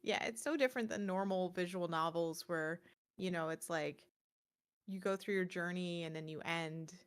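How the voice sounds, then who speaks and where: A woman's voice: lowish voice, normal speed, clean tone. A woman co-hosting a gaming show, chatting in a casual, informal and conversational way. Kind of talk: casual chat.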